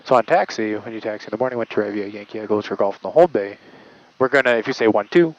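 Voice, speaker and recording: A man's voice: lowish voice, rapid-fire, dull audio. A man working as an air traffic controller, giving explanatory interview answers full of aviation jargon.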